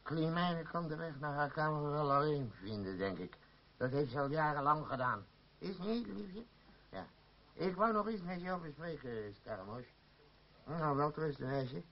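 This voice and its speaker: An actor playing a possessive, sardonic middle-aged father and troupe boss in a radio play, with a deliberate, needling delivery. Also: a little high, big pitch swings. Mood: falsely genial. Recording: dull, hissy old radio recording.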